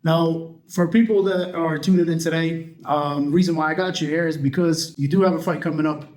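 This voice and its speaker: Give me an upbeat, higher-voiced male podcast host. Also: steady tone, a little fast, a little mellow. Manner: upbeat, chatty, loose, many ums. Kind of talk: casual podcast interview.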